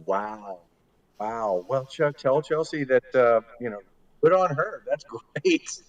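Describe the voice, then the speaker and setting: A man's voice: medium pitch, animated, takes it slowly, dry recording, highs cut off. A man hosting a casual chat show in a playful, joking manner. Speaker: host.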